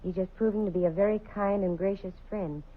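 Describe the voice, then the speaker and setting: An actress playing a brisk, wry female private eye in 1940s radio style. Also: mid-range voice, steady tone, lightly acted. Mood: searching. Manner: brisk, wry, hard-boiled. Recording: muffled old radio broadcast with hiss.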